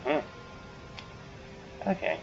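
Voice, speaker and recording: Slow-talking man doing game commentary. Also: muffled recording, background noise. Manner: halting, thinking aloud. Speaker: gaming video host. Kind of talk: casual let's play commentary.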